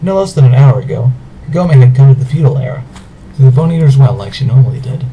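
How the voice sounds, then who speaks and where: A man's voice: mid-range voice, expressive, fast, crowd noise, low-quality recording. A man reading scripted narration for an amateur audio drama.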